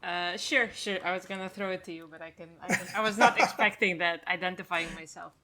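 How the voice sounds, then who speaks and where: Bright-voiced woman, laughing and modest. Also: lowish voice, steady tone, fairly quick. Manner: laughing, self-deprecating, hesitant fillers. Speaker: indie game designer guest. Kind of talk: casual interview chat.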